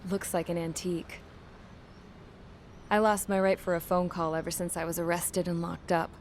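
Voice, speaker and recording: A woman's voice: lowish voice, little pitch movement, moderate pace, a little mellow, natural voice. An actress playing a cocky, resourceful young prisoner, speaking casually and wryly in a game cutscene, with noise behind her. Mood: wry, confident. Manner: casual, slangy, wry. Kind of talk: video game cutscene narration and dialogue.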